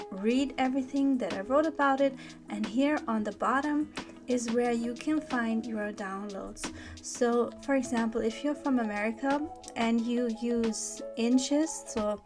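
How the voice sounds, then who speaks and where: A woman with a German accent, a native German speaker, explaining a website step by step in a patient, casual way with many small fillers and check-ins. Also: fairly high pitch. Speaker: bag pattern designer. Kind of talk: screen-recorded website walkthrough. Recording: voice-over with music behind. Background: background music.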